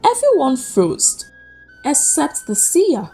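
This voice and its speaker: A woman narrating a scripted folktale as a storyteller, with suspenseful, deliberate phrasing. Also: high-pitched, wildly swooping pitch, dark tone. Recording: music behind the voice. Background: background music.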